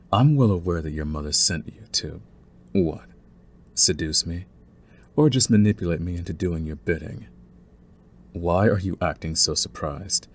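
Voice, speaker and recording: Very deep, husky young mafia heir acted by a man. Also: a bit bright, full character voice. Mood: cold, menacing. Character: young male mafia heir, falsely meek, cunning, menacing, condescending. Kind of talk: intimate scripted audio roleplay, ASMR acting.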